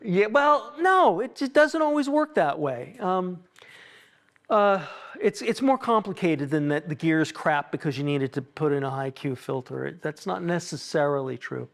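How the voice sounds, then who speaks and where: Man with a fairly high voice, an instructor giving a hands-on technical demo, musing aloud and explaining in asides. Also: animated, gravelly. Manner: halting, self-questioning, explanatory asides. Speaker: acoustics consultant leading a tuning workshop. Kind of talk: informal live technical demonstration, thinking out loud.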